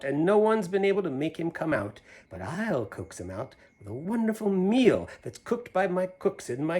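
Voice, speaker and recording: Animated man reading a rhyming storybook. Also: a little high. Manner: playful, theatrical, with conversational asides to listeners. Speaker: storybook reader. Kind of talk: animated read-aloud of a rhyming children's storybook with chatty asides.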